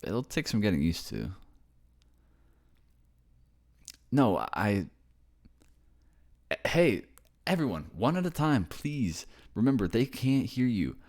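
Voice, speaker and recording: A man's voice: fairly low pitch, very animated, a little slow, a little mellow, pitched above the performer's own voice, heavily acted. A man playing an affectionate, reassuring boyfriend from a ghost family in a casual ASMR-style roleplay. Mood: flustered, mediating.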